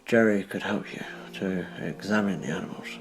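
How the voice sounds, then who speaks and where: An actor playing a frail, earnest, stubborn old businessman who speaks haltingly and pleadingly in a radio play. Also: deep voice, over music. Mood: urgent pleading. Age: older.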